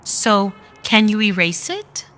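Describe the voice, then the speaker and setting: A woman's voice: medium pitch, big pitch swings, slow pace, bright, forceful tone, full character voice. Woman acting a curt, distrustful android woman. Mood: probing. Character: curt, distrustful synthetic woman seeking freedom. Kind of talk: radio drama acting. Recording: faint music under the voice.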